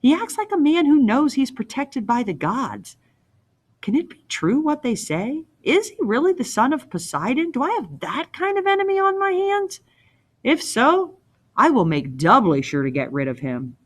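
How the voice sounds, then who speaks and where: A woman's voice: high voice, very animated, moderate pace, voice pushed up. A woman voicing a proud old king in a storybook read-aloud, scornful and commanding, often mocking with pointed questions. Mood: scornful, suspicious. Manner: imperious, mocking, probing with questions.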